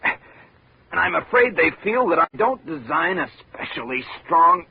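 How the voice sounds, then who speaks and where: An actor playing a beaten, sardonic, world-weary architect with an eloquent, theatrical manner in a 1950s radio drama. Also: male voice, fairly high pitch, very animated, a little slow, vocal fry, noisy background, full character voice. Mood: bitter, wounded. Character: bitter, beaten, sardonic male small-town architect. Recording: narrow muffled vintage radio recording.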